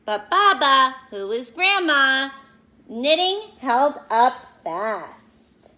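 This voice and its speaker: A woman with a high-pitched voice speaks as a teacher to young children. She takes her time, with an unhurried, expressive storytelling delivery. Her phrasing is simple and direct, made for little listeners. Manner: explains words and addresses children directly. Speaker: elementary school teacher reading aloud to pupils. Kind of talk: expressive children's read-aloud and lesson talk.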